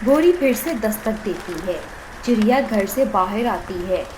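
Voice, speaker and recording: A woman's voice: mid-range voice, animated, measured pace, noisy background. A woman reading scripted narration for a children's story.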